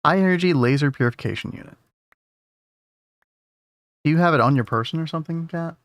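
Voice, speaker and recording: A man streaming a game in casual, rambling talk to his chat, his voice a little hoarse. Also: a little high, a little fast, dry recording. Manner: rambling, easygoing, talks to chat. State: losing his voice. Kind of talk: casual gameplay commentary.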